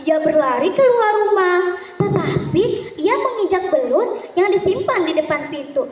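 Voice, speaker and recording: A woman narrating a folk tale theatrically as a contestant, deliberate and with dramatic pauses. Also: extremely high pitch. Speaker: storytelling contestant. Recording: echoey hall, distant microphone.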